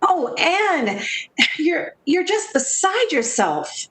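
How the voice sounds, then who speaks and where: A woman plays a worried, sensible friend in a 1940s radio play. Her voice is high and unhurried, with a cautious, pleading manner. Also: sing-song, fairly bright tone, full character voice. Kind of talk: 1940s radio drama acting.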